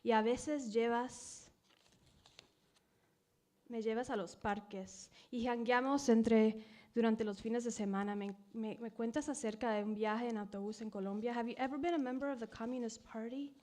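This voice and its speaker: A woman in her thirties performing poetry at a live reading, mixing English and Spanish, swinging between hushed whispers and loud cries. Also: a little high, steady tone, moderate pace. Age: 30s. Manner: dramatic, shifting from whispers to screams. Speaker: poet reading at a live event.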